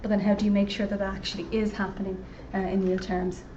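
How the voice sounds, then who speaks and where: A woman's voice: medium pitch, little pitch movement, fast, dark tone. A woman presenting research at a roundtable, talking conversationally with lots of "you know". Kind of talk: conference presentation. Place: conference room at a roundtable event. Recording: distant, dull lo-fi sound with faint noise.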